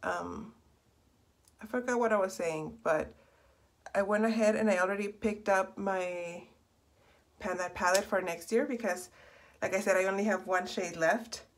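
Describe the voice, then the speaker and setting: A young woman, hoarse from a cold and slightly raspy, chatting in a rambling, self-correcting way while doing her makeup in a small bedroom. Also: medium pitch, measured pace, pauses often. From Texas. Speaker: beauty vlogger. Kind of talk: casual get-ready-with-me chat. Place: small bedroom in front of a door.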